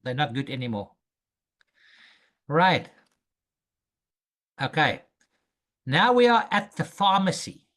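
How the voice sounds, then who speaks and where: A man teaching an English lesson, speaking slowly and patiently and repeating phrases for a student to copy. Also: a little high, big pitch swings, fairly bright tone. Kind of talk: language lesson drills.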